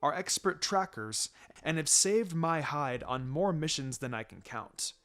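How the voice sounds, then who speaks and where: A man voicing a calm, dry adult ninja teacher in a story reading, with a low-key, gently chiding manner. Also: a little slow. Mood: calm, proud.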